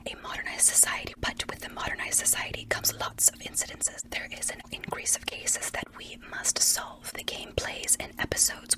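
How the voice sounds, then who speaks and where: A woman's voice: very high-pitched, distant mic. A woman delivers a sponsor message in ASMR style. She keeps to a whisper while sounding keen and promotional, like a creator addressing viewers directly. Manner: enthusiastic, promotional.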